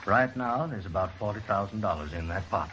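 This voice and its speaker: Deep desperate card player, formal and pushy, old western radio, muffled. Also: male voice, expressive, brisk, bright, forceful tone. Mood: urgent, secretive. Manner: formal, insistent. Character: smooth-talking, insistent, desperate frontier poker gambler. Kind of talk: 1950s radio western acting. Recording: dull old radio broadcast, faint hiss.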